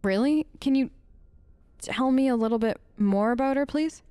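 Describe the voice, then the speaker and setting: A woman's voice: high voice, normal speed, dark tone, clean tone, no room sound. A woman doing casual improvised role-play as a gamer, talking in a hesitant, conversational way close to the mic. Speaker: let's play gamer speaking as her character.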